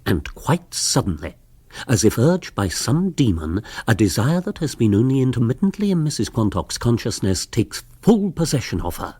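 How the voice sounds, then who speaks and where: An actor playing a wry, urbane storyteller, narrating a literary radio comedy. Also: male voice, mid-range voice, very animated, normal speed, darkish tone, husky, no room sound. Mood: wry, dramatic. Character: wry, urbane storyteller relishing small-town intrigue.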